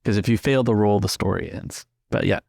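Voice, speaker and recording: A man's voice: on the low side, fairly quick, vocal fry, darkish tone, slightly rough, no room sound, clean studio sound. A man improvising narration as the game master of a tabletop roleplaying game.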